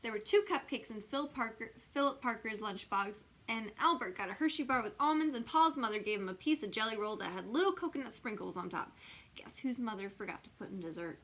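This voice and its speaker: Bright-voiced woman reading a bedtime story. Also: fairly high pitch, lively intonation, a little fast, few pauses. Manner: theatrical, with dragged-out emphasis and dramatic swings. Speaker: storybook reader.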